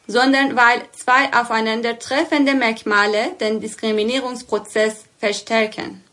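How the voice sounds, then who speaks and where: A woman's voice: on the high side, level tone, unhurried, fairly bright tone. A woman reading an explanation, speaking directly to the listener. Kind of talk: scripted explainer narration.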